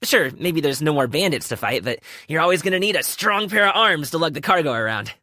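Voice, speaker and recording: A man's voice: on the high side, lively intonation, a little fast, sharp tone, dry recording, studio quality. A man voicing a cheerful young wushu dancer and delivery guard in a video game, friendly and casual with slangy phrasing. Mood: breezy, confident. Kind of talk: video game character voice lines.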